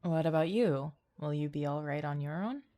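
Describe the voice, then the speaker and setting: Woman voicing reserved deadpan young man, lowish. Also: normal speed, close to an everyday voice. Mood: calm, reassuring. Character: reserved, stoic young male traveller. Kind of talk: reading video game dialogue aloud.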